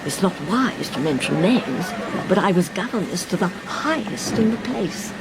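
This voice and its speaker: An actress playing a kindly older English governess in a radio drama, effusive, fussy and eager to confide. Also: female voice, sing-song, normal speed. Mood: kindly, confiding. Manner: effusive, fussy, calls the listener "my dear". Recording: train rumble and noise behind.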